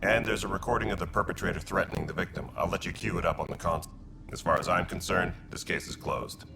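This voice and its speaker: An actor playing a curt, businesslike alien militia officer in a sci-fi video game, matter-of-fact and dismissive. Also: male voice, deep voice, fast talker, vocal fry, very noisy. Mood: brusque, dismissive.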